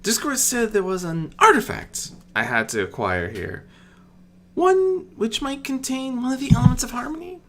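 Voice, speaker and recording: A regal winged pony princess, read by a narrator, courteous and formal in a fantasy storybook. Her pitch swings widely with curiosity and wonder. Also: very animated, moderate pace. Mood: surprised, curious. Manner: warm, polite, formal. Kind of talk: amateur audiobook character reading.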